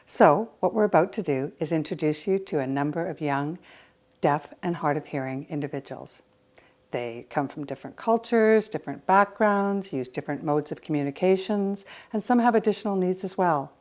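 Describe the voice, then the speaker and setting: Female presenter for an introduction, notably low voice. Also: expressive, moderate pace, a little mellow.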